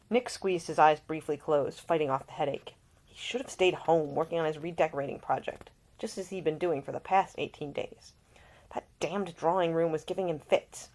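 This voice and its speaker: Low-pitched woman reading to listeners. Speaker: author reading own book. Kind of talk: informal introduction, then expressive audiobook narration.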